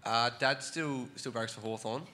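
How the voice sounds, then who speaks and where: A man's voice: medium pitch, normal speed, bright, forceful tone. A young Australian man on his first panel show, giving short, plain, slightly shy answers into a handheld mic. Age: young adult. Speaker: guest player.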